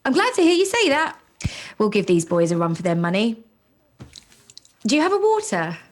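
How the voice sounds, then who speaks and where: An actress playing a wry, ambitious young advertising professional with a sarcastic edge in an audio drama. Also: female voice, a little high, wildly swooping pitch, normal speed. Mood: wry, brisk. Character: sardonic, ambitious young career woman in advertising.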